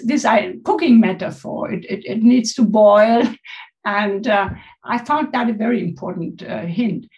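Woman discussant at a conference, slightly high voice, careful and pausing. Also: a little mellow, rough recording. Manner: measured, thoughtful, with pauses. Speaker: panel discussant. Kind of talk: academic question to a speaker.

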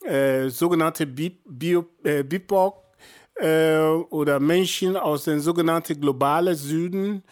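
Man in his fifties from Nigeria, podcast guest; slow, hesitant delivery. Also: a little high, a little mellow. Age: 50s. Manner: halting, many fillers and restarts. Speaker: guest, journalist and activist. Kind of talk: podcast interview answers.

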